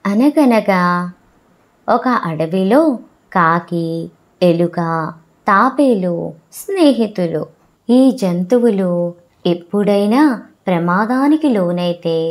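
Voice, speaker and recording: A woman storyteller narrating a scripted children's moral fable in short, deliberate, measured phrases. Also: mid-range voice.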